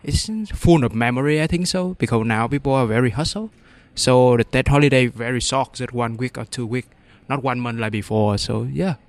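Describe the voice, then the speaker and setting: Man from vietnam, halting and searching for words, dry close sound. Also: medium pitch, no room sound. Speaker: student. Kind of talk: casual interview.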